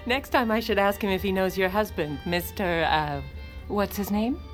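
An actress playing a sardonic, self-assured First Lady in her forties, narrating an audio drama with deadpan, haughty wit. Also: mid-range voice, normal speed, faint music behind, close to an everyday voice. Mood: smugly name-dropping. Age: 40s.

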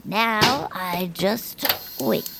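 A woman's voice: medium pitch, extremely slow, creaky, very noisy. A woman voicing an eager, oblivious, chatty best friend in a family audio drama, breezy and folksy. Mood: cheerfully oblivious.

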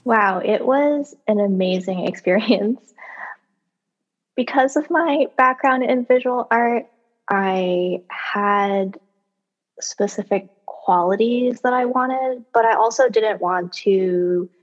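A slow-speaking woman whose first language is Cantonese, who answers questions about her work with care and a soft, easy laugh. She speaks at a middle pitch. The recording sounds a little dull and lo-fi. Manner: thoughtful, measured, light laughs. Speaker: author and audiobook narrator. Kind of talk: interview answers.

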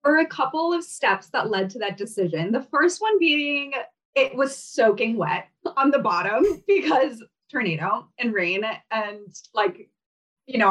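A woman's voice: mid-range voice, fairly bright tone, far from the mic, low-quality recording. Chatty woman, a bit off-mic. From Kazakhstan. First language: Russian. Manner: chatty, laughing, many fillers. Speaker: guest, fashion brand founder. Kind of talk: casual interview chat.

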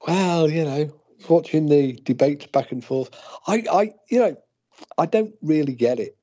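A man's voice: on the high side, unhurried, mellow. A British man co-hosting a podcast in casual chat, with a wry, understated manner. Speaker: podcast co-host. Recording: dull lo-fi call audio.

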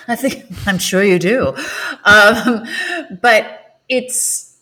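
A woman's voice: mid-range voice, extremely slow, sharp tone. A woman, an actress, being interviewed, speaking reflectively, often searching for words. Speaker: guest, stage actress. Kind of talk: conversational interview.

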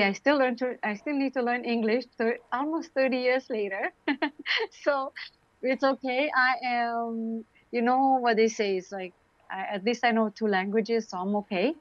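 A woman's voice: medium pitch, dry recording, muffled recording. A middle-aged woman from Romania with a Romanian accent, a guest speaking candidly in an interview, with frequent fillers. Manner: candid, hesitant with fillers, laughs at herself. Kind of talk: conversational interview.

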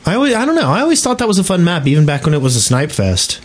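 A man's voice: on the high side, big pitch swings, rapid-fire. A man chatting casually as a co-host on a podcast. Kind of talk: casual chat.

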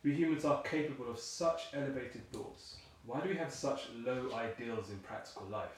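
An actor as a weary father in an audio drama, musing and grumbling with a questioning, declamatory turn. The medium-pitched male voice echoes heavily over faint noise. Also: expressive, moderate pace, close to an everyday voice. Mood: musing, exasperated. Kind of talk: audio play acting, musing dialogue.

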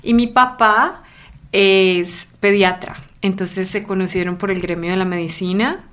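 A woman's voice: medium pitch, fairly even intonation, a little slow, a bit bright. A woman in her thirties who grew up in Colombia, chatting enthusiastically as a podcast guest. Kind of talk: casual interview.

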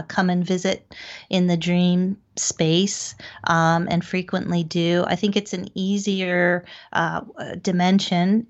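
A middle-aged woman, recounting experiences conversationally as an interview guest. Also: on the low side. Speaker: interview guest, paranormal investigator. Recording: dull, band-limited lo-fi audio.

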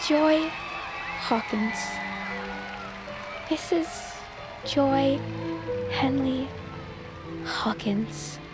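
A woman's voice: high voice, very slow, long pauses, loud music behind the voice, over a noisy crowd. An actress playing a lovestruck, gushing teenage fangirl in an audio drama. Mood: swooning.

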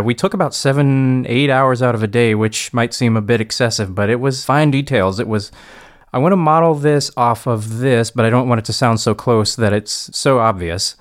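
A man talking casually as a podcast panelist, explaining things in an informal, conversational way. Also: mid-range voice. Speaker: podcast panelist and audio producer. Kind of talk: casual chat, explaining production work.

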